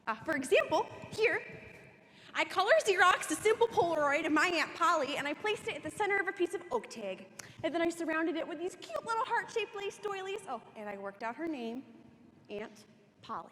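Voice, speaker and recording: A woman's voice: very high-pitched, big pitch swings, brisk, heavily acted. A young woman playing a cheerful, prim middle-aged crafter in a dramatic monologue, in an echoey hall. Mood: chirpy. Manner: bright, folksy, cheerfully prim. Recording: echoey hall, faint noise.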